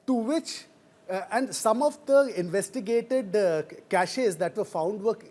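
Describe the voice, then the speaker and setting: A man with an Indian accent explaining a story as a news reporter in a hesitant, halting way. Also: high-pitched, expressive, dark tone. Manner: hesitant, halting delivery with frequent pauses.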